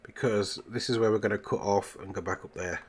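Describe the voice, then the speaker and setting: Low-pitched man bunged up with a cold. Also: fairly quick. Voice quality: congested, bunged-up nose. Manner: muttering to himself, self-questioning tag questions. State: full of a cold. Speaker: gaming video host. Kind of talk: casual gameplay commentary. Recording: clear background noise, keyboard clicks.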